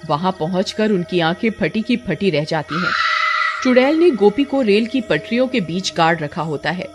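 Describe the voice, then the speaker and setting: A woman's voice: mid-range voice, lively intonation, moderate pace, over music. A woman giving scripted narration of a horror story.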